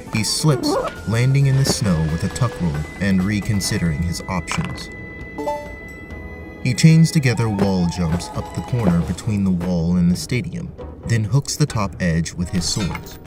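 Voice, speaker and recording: Male narrator, deep pitch, scripted fantasy storytelling, music bed. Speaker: narrator, game master.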